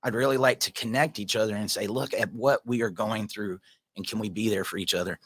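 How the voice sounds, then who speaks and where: A man's voice: fairly low pitch, fairly even intonation, fairly quick, husky, no echo. A man hosting a casual livestream, reading viewer comments and talking earnestly in a rambling way, addressing viewers by name.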